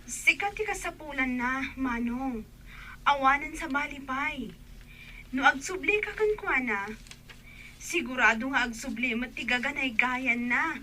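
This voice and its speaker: A woman's voice: high-pitched, expressive, a little slow, long pauses, pushed and bright, slight background noise, full character voice. A woman acting a dutiful, candid younger sister in an Ilocano radio drama.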